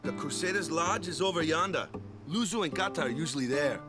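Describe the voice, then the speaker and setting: Casting: male actor as humble, laid-back young island jock for a game, animated pitch swings, music underneath. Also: a little fast, natural voice. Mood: helpful, relaxed. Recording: game audio with music over it.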